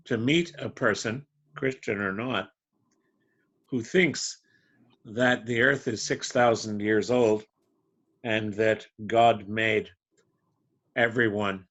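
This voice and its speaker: A middle-aged Canadian man giving a measured, wry impromptu speech at a club meeting. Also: on the low side, fairly bright tone, lo-fi sound. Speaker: club member answering a table topic.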